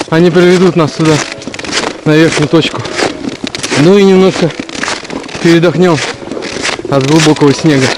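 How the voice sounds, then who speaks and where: Мужчина ведёт влог на морозе в лесу. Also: male voice, on the high side, noisy background. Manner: chatty, breathless while trudging through snow. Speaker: vlogger. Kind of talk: casual hiking vlog narration. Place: snowy pine forest outdoors in deep frost. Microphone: action camera held at arm's length.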